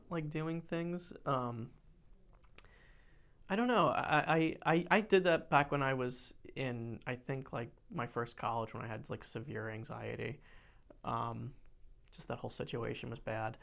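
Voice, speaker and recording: A man's voice: medium pitch, unhurried, creaky, fairly bright tone. A young man co-hosting a podcast, chatting casually with many fillers and restarts, often laughing. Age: young adult. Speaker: podcast co-host. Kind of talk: casual chat.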